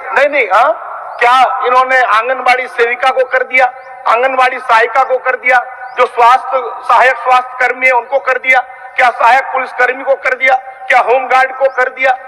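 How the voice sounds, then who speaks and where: A man's voice: very high voice, sharp tone. A man gives a fiery opposition speech in a legislature, combative and accusatory, repeating himself in rhetorical questions. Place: large legislative chamber. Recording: reverberant hall sound system.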